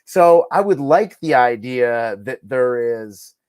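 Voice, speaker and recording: A man's voice: mid-range voice, lively intonation, takes it slowly, a little mellow, clean tone, dry recording. A man talking casually as a podcast guest, giving emphatic, musing opinions peppered with "like" and "you know".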